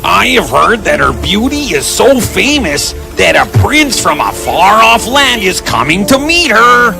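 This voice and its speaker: A man playing a sinister wizard in a storybook tale, gravelly and menacing, with incantation-like delivery. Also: sits high, very animated, a little slow, full character voice. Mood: scheming. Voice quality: gravelly, creaky. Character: sinister male wizard casting evil spells. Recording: music behind the voice.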